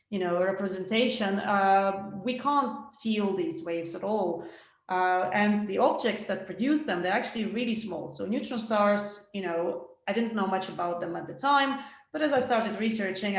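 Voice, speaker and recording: A woman presents a science webinar over a video call in a very roomy, echoing space. Her pitch is average for a woman, and she talks like someone telling a story to friends, colloquial and conversational. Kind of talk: informal talk, storytelling about science. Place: indoor room, video call.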